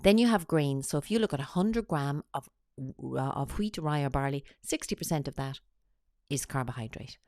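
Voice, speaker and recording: A woman talks through a slide presentation. She has a cold and explains each point in a steady, teacherly way. The sound is close and dry, with no room around it. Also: low-pitched, moderate pace, no room sound. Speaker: weight-loss coach.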